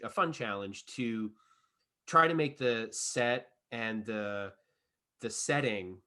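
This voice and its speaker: A man speaks in a bright, forceful voice of medium pitch. He sounds like an actor in a relaxed interview, considering his answers and rephrasing as he goes. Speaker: stage actor. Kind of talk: casual interview chat.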